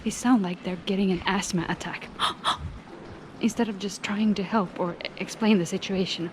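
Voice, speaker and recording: A woman's voice: medium pitch, steady tone, moderate pace, noisy background. A woman talking casually to her camera as she travels through an airport, chatty and sarcastic, swearing freely. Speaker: vlogger. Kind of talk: casual travel vlog monologue. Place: airport terminal.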